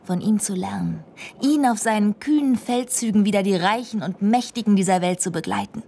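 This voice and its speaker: An actress playing a young American bank teller turned would-be adventurer, narrating and speaking in a dreamy, often whispered way. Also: female voice, medium pitch, brisk, gravelly, mellow, slight background noise, lightly acted. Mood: earnest. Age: young adult. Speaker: narrator and heroine. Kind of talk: radio play narration and dialogue.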